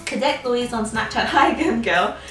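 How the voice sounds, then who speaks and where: A woman's voice: a little high, expressive, moderate pace, fairly bright tone, echoey room, recorded from a distance, soft background music. A young woman in her twenties, chatting through a casual Q&A with a bubbly, giggly manner and lots of "um"s and "like"s. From Australia. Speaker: vlogger. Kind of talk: casual Q&A chat.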